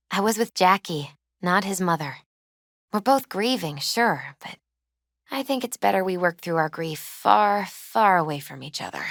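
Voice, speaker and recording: A woman voicing a gentle, mystical young tarot reader in a video game, soft-spoken, measured and earnest, with a foreboding manner. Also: medium pitch, no room sound, studio quality. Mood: concerned, hurt. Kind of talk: video game character dialogue.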